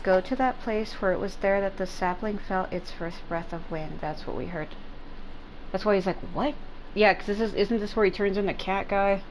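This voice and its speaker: A woman's voice: mid-range voice, normal speed, a little hiss or hum, rough recording. A woman doing casual gaming commentary, rambling and informal with some swearing. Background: game music.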